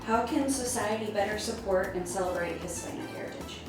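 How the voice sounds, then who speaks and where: A woman off camera reads out questions, brisk and bright. She sounds far from the mic. The room is heavily echoey, and music plays underneath. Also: mid-range voice. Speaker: off-camera interviewer. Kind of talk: reading interview questions. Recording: distant, very echoey, music behind.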